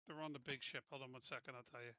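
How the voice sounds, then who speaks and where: A man's voice: mid-range voice, steady tone, rapid-fire, pushed and bright, dry recording. A man chatting casually and informally as a player in an online tabletop game. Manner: informal, often says "dude".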